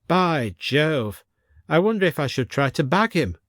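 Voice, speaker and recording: A pompous old English colonel, acted out by a male narrator, barking with military bluster in an upper-class accent. His pitch jumps up high as he blusters through the storybook, recorded close and dry. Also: sing-song, unhurried, fairly bright tone, no echo. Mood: excited bluster. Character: blustering retired colonel, elderly posh English gentleman. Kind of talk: audiobook character voice.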